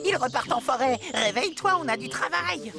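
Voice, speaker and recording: A woman voicing a cheeky, excitable little male animal guide in a children's cartoon, bold and exclamatory, over music. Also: high-pitched, fairly quick, vocal fry. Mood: flustered. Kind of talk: children's fairy-tale cartoon dubbing.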